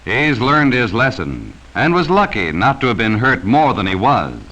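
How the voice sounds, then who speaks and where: A man's voice: mid-range voice, very animated, moderate pace, sharp tone, rough recording. An American man narrating a 1940s educational safety film from a script, speaking sternly and cautioning children directly, on a vintage film soundtrack.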